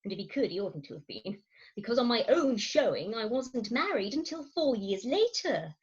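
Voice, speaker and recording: A woman's voice: fairly high pitch, very animated, normal speed, breathy edge, dull audio. A woman acting a fretful, melodramatic Victorian wife, swooping voice. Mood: flustered confession. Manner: melodramatic, confiding, emphatic. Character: fretful, theatrical Victorian wife of thirty-six. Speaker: actor in an amateur staged reading. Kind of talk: Victorian farce stage acting. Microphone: video call.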